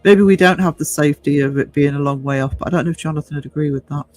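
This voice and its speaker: A man's voice: fairly high pitch, very fast, a little mellow, faint music behind. A man in his fifties hosting a livestream, chatting casually and reading out comments, hesitant and thoughtful as he rephrases. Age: 50s. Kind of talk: casual discussion.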